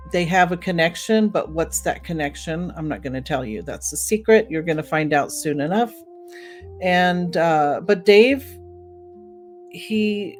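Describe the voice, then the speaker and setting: A woman hosting a podcast talks in a relaxed, chatty way, dropping in fillers as she goes. She speaks slowly in a fairly low voice. Faint music plays softly behind her. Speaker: podcast host. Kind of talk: casual podcast introduction.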